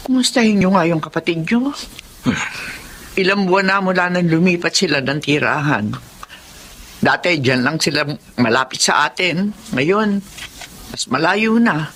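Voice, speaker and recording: A woman acting a worried, soft-hearted mother of grown children, with a Filipino accent, in an audio melodrama. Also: lowish voice, lively intonation, a little slow, a little hiss or hum. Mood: concerned.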